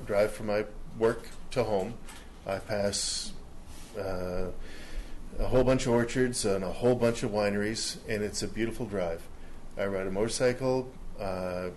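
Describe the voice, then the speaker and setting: Man, around 50, slow folksy public comment, low voice, faint background noise. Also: darkish tone. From Canada. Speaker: resident speaking at a public hearing. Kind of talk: public comment at a council hearing. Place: council chamber, standing at a podium.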